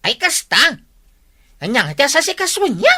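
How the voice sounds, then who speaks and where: A woman's voice: high voice, big pitch swings, moderate pace, bright, forceful tone, exaggerated voice. A woman voicing a nosy, cheeky young tricycle driver with an exaggerated comic delivery in an Ilocano drama. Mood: nosy, teasing. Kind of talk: Ilocano radio-style comedy drama acting.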